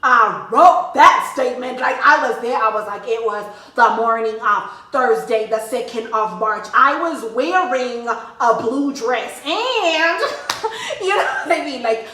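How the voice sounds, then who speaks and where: A woman's voice: fairly high pitch, lively intonation, unhurried, bright, forceful tone, echoey room, distant mic, a little hiss or hum, poor audio. A young woman telling a story in a chatty, slangy way, talking straight to her viewers. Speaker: vlogger. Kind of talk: casual storytime chat to camera.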